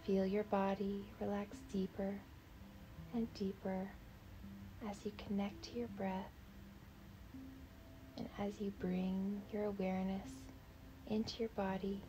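Slow, soft-voiced woman guiding meditation. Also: medium pitch, level tone, highs cut off, noisy background. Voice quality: soft, breathy, half-whispered. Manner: gentle, soothing, unhurried. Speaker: meditation guide. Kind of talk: guided meditation narration.

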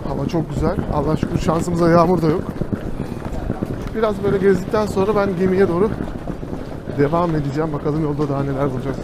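A man with a fairly high voice chats casually as he walks a city, turning to the camera often to speak to his viewers as friends. Traffic and street noise sit clearly behind him. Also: fast talker. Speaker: travel vlogger. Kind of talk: casual travel vlog commentary. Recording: noisy outdoor street recording. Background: street noise and passing vehicles.

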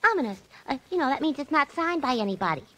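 A woman's voice: sits high, sing-song, fast, pushed and bright, heavily acted, poor audio. A woman playing a naive, ditzy, earnest secretary in 1940s radio drama style. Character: naive ditzy female secretary, earnest, misusing big words.

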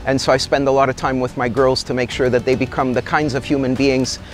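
A middle-aged man from Canada, a company executive, speaking in a measured and articulate way in a corporate interview outdoors in a garden. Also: mid-range voice, little pitch movement. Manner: measured, articulate, gestures while talking.

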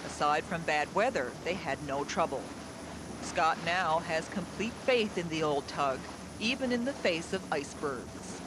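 High-pitched male documentary narrator. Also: animated, a little slow. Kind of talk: scripted narration. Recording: engine and vehicle noise behind.

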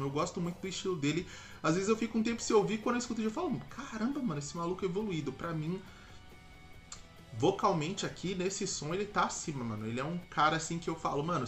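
A young adult Brazilian man giving casual, slangy, conversational commentary as the host of a reaction video. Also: fairly high pitch.

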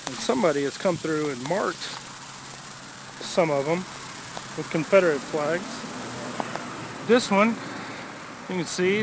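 Man vlogging outdoors over loud noise. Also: fairly high pitch. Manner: relaxed, informal tour-guide commentary. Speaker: travel vlogger. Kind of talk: casual travel vlog. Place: outdoors in a rural cemetery. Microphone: phone camera held at arm's length.

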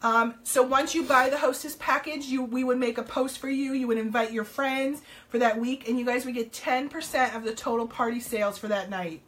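A slightly hoarse woman, chatting upbeat and loose on a home live stream, full of little ums and hellos to viewers. Her voice sits a little high, and the sound is picked up by a camera across the table. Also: steady tone, brisk, few pauses, fairly bright tone. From New Jersey, US. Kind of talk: casual live-stream sales chat. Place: home room, indoors.

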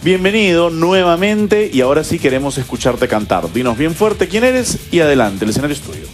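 A man speaks as a judge on a live talent show. His voice sits a little high, and music plays behind him in the studio broadcast. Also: sing-song, a little fast.

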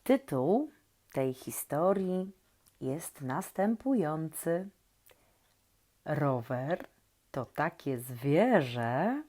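A woman with a low voice tells stories to young listeners at a very leisurely pace. She is gentle and playful, often turning to the children with questions and letting expressive pauses hang. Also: noisy background. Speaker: children's story reader and host. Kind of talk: reading aloud to young children, talking to the listeners.

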